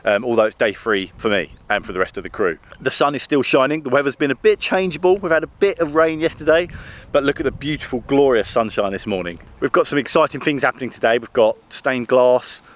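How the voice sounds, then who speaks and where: A British man with a hoarse, fading voice, chatting enthusiastically to a handheld camera as he walks through a garden. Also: mid-range voice, animated, fairly quick. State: hoarse, losing his voice. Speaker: vlogger and TV presenter. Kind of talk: casual walk-and-talk vlog. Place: outdoors in a large garden.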